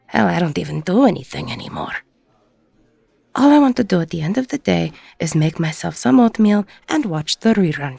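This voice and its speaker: A woman playing a weary, gruff, middle-aged ex-con bartender, husky and breathy, blunt and self-deprecating, in an intimate audio roleplay. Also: on the low side, no echo, full character voice. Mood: weary, resigned.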